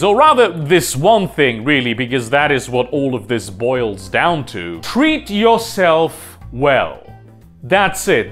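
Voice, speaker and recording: Loud, animated young man vlogging. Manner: animated, emphatic, wide swings in pitch. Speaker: video essay host. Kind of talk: talking-head advice vlog. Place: home room with bookshelves. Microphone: lapel mic.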